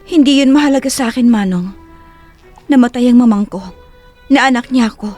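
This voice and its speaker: A woman's voice: on the high side, fairly quick, warm tone, faint music behind, lightly acted. A woman playing a humble, guarded young wife in an audio drama, reserved yet emotional. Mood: hurt, resistant. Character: humble, guarded young wife stunned by her secret parentage. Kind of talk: Tagalog audio drama acting.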